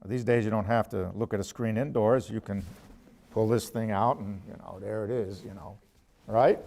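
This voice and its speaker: An older man, a professor, lecturing from a prepared text in a deliberate, measured way with emphatic pauses, quotations and asides. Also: lowish voice, steady tone, gravelly, darkish tone. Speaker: ethics professor giving a lecture.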